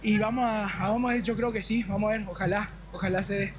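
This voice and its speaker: High-voiced football fan. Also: male voice, fairly even intonation, fast talker. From Corrientes, Argentina. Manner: enthusiastic, earnest. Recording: crowd noise outdoors.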